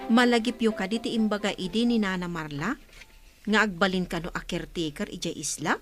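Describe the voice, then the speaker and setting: A woman's voice: mid-range voice, brisk, music in the background, natural voice. A woman playing a worried daughter, questioning, in a radio drama. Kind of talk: Ilocano radio drama acting.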